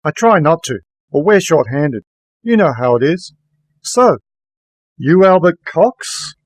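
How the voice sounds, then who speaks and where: A man's voice: fairly high pitch, very animated, slow pace, no echo, exaggerated voice. A man playing a weary, sardonic werewolf private investigator, narrating a noir radio drama in a dry, wry manner. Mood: curt dialogue. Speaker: narrator and lead.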